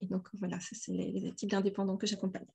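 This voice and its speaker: A woman's voice: mid-range voice, completely flat delivery, fast talker, gravelly, darkish tone, slightly rough. Belgian french lady guesting on a podcast, lots of euh and restarts. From southern Belgium. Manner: hesitant, many fillers and restarts. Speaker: podcast guest, event organizer. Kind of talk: casual interview talk.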